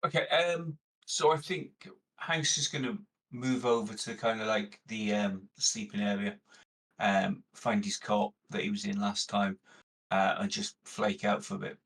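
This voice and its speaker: A man with a low, husky voice talks informally as a tabletop game player. He is laid-back as he says what his character does, and he sounds some distance from the microphone. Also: fairly even intonation, unhurried, fairly bright tone. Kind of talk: casual, describing his character's actions.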